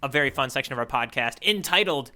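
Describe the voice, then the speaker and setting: A man co-hosting a podcast, chatting casually about comics in a halting way, often restarting his sentences. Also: mid-range voice, lively intonation, pushed and bright. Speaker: podcast co-host. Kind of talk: casual chat about a manga.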